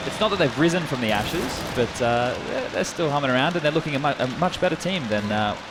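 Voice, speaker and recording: A man's voice: on the high side, animated, fast, background noise. A man with an Australian accent, giving casual, rambling esports analysis full of fillers. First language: English. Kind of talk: analyst desk chat.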